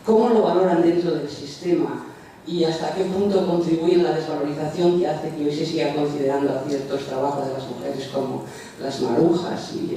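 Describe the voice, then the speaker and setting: A woman's voice: low-pitched, mellow, slight background noise. An older woman in the audience offers a hesitant, thinking-aloud academic comment in a lecture hall. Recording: distant, very echoey lecture hall.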